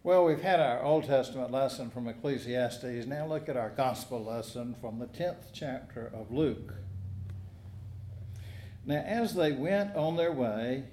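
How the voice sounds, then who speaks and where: A man preaching a sermon, reading a gospel passage and then telling stories in a measured, earnest way. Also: mid-range voice, mellow, slight background noise. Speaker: pastor. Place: church sanctuary. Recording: echoey church.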